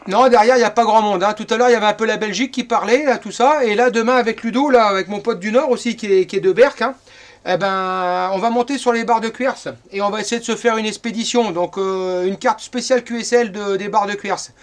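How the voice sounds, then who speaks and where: A man's voice: high-pitched, brisk. A middle-aged man from France chatting casually as an amateur radio operator.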